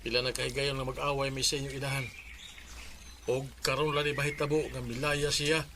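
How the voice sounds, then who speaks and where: Tired quarrelsome cebuano filipino dad in a radio drama, bright voice, bit of background noise. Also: male voice, mid-range voice, level tone, a little fast. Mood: weary, resigned. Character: weary, quarrelsome husband and father of daughters. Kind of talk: Bisaya radio drama acting. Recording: noisy radio drama with ambient sounds.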